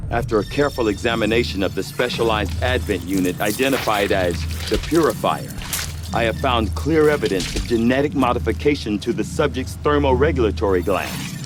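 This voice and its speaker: A man playing an erudite, self-assured scientist in a video game, speaking formally and with measured precision. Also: medium pitch, background noise, lightly acted. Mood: clinical, intrigued. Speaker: scientist, autopsy narrator. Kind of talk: scripted video game cutscene acting. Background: music.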